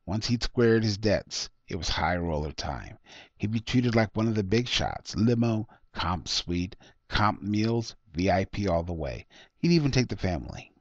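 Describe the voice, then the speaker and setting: A man's voice: on the low side, animated, measured pace, no room sound. A man reading his own short story aloud as scripted narration. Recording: dull lo-fi close recording.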